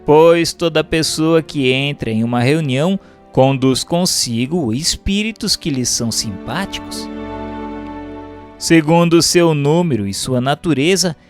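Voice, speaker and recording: A man with a Brazilian accent giving a formal, measured, didactic scripted reading of a religious text as a narrator. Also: on the high side. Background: background music.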